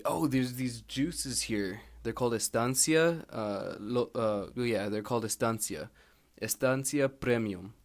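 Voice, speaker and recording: A young man recording a casual audio diary while studying abroad, meandering and candid, with lots of fillers and restarts. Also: mid-range voice. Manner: laid-back and candid. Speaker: exchange student keeping an audio diary. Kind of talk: rambling personal audio log. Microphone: handheld recorder held close.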